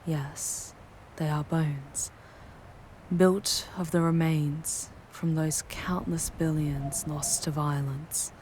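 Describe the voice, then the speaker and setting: A seductive, ominous demoness played by a woman guides a mortal in an ASMR audio drama. Her voice is deep for a female and unhurried, with breathy, half-whispered phrasing. Faint noise and ambience sit behind the ornate, measured delivery. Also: steady tone, a little mellow, low-quality recording. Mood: ominous, solemn. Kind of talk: scripted ASMR audio roleplay, dramatic monologue.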